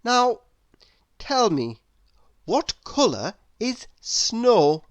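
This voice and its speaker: A woman's voice: a little high, sing-song, very slow, highs cut off. A woman reading a children's story aloud expressively, putting questions to the listener. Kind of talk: children's read-aloud narration.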